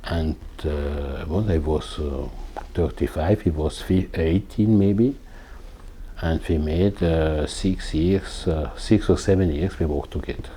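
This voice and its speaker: Slow, deep-voiced Swiss man searching for words. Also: dark tone, a little hiss or hum. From Italian-speaking Switzerland. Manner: halting, searching for English words, frequent uh fillers. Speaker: cinematographer. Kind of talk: recorded interview.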